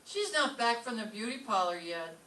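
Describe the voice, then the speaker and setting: A sharp-tongued woman schoolteacher, acted by a woman tosses off dry, sarcastic lines in old-time radio comedy style. Her voice is bright and a bit high. It is picked up from afar in an echoey room. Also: very animated, moderate pace. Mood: jealous, sardonic. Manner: dry, sarcastic delivery of quips. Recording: distant roomy stage pickup, faint noise.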